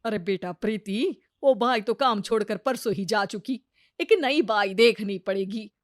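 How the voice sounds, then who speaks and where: A woman playing a patient, weary older mother-in-law, homely and gently pleading, in a story dialogue. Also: medium pitch, measured pace, dry recording, studio quality, lightly acted. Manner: homely, long-suffering. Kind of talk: acted dialogue in a Hindi moral story.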